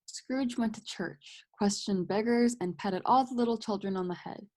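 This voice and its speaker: A woman's voice: medium pitch, normal speed, darkish tone, close to an everyday voice. A woman giving scripted storybook narration as the narrator of a radio play.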